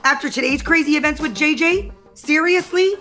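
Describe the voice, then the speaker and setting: A woman's voice: sits high, moderate pace, bright, forceful tone, soft background music. An actress playing a scheming, ambitious young woman in an audio soap opera, with a taunting, self-assured and confrontational manner. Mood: threatening. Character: scheming, brazen ambitious young woman, office power player.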